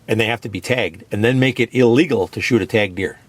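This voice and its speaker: A man's voice: on the low side, fast, dry recording. A man hosting a radio show, talking in an emphatic, opinionated, casual way and leaning on "you know" and "guess what". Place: radio studio.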